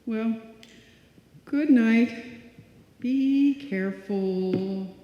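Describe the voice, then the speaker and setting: A woman's voice: on the high side, extremely slow, a little hiss or hum. A woman playing a timid, fretful friend, hesitant and pleading, in live old-time radio suspense style. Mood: anxious, pleading. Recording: echoey hall, dull lo-fi sound.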